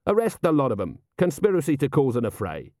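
A blunt, sardonic commander of the watch, as a male narrator plays him, dry and defiant, snarling when pressed. His pitch swings freely in a closely recorded storybook performance. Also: wildly swooping pitch, fast, dark tone, dry recording. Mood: manic, relishing.

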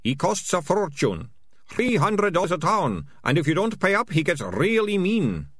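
Man doing a gleeful high-voiced rat catcher. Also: sing-song, moderate pace, fairly bright tone, husky, no echo, studio quality, full character voice. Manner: gleeful, eager. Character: cheerful gleeful male rat catcher sidekick.

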